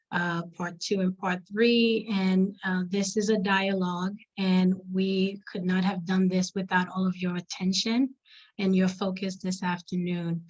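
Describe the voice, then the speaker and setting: A woman whose native tongue is Haitian Creole, hosting an online panel and giving earnest, measured closing thanks with pauses. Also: medium pitch. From Haiti or Haitian diaspora. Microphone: video call. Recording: dull lo-fi video call audio.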